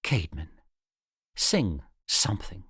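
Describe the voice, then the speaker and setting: A man voicing a gentle, mysterious dream spirit in a storybook, soft, slow and coaxing. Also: low-pitched, sing-song, slightly rough, no echo, studio quality, voice pushed down, full character voice. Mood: gently urging.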